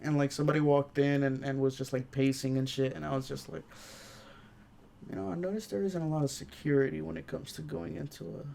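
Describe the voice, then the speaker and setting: A man's voice: a little high, fairly even intonation, brisk, creaky, dark tone. A man co-hosting a review podcast, chatting and telling anecdotes in informal slang. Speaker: podcast co-host reviewing films. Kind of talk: casual chat and storytelling.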